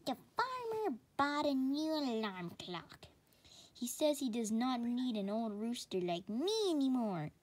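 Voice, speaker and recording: Sad elderly storybook rooster, higher voice, mournful drawl, woman performing. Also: very animated, a little slow. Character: droopy, sad old male rooster of a fairy tale.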